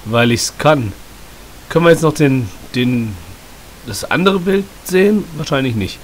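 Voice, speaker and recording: A man with a slight cold commenting casually and sarcastically on a story game, often clearing his throat. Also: mid-range voice, animated, measured pace. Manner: chatty, joking, sarcastic. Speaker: let's play host. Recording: hissy home mic.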